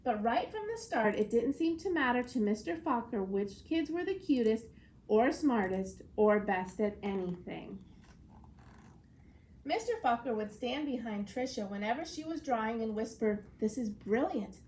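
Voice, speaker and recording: A woman schoolteacher giving an expressive storybook read-aloud lesson in a clear, explanatory classroom manner. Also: a little high, a little hiss or hum, low-quality recording.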